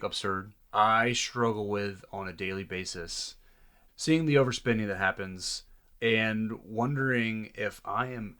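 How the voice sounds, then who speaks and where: Man, fairly low voice, earnest interview talk, serious, reflective doctor. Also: bright, forceful tone. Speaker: doctor, podcast guest.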